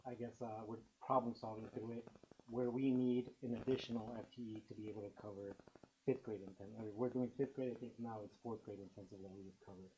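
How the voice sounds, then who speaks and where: A man's voice: on the low side, steady tone, fast talker, a little mellow, a little hiss or hum, rough recording. A man presenting a staffing request to a school board, casual and chatty. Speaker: school administrator.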